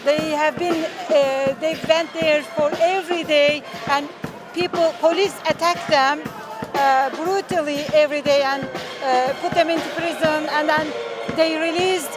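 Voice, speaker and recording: A woman from Turkey, speaking earnestly and haltingly at an outdoor street rally, pausing often with fillers. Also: high-pitched, steady tone, over music, crowd noise. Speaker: rally participant. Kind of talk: street interview.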